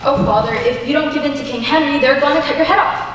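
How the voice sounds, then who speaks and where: Young woman acting earnest melodramatic daughter, fairly high voice, declamatory period stage parody, distant mic. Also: quick pace, a bit bright. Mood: overwrought. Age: young adult. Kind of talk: mock historical stage drama. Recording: echoey auditorium, distant mic, faint noise.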